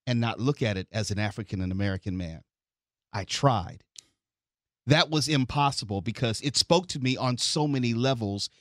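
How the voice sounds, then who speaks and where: Male podcast host, mid pitch, dry close mic, measured and articulate. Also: dry recording. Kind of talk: podcast commentary.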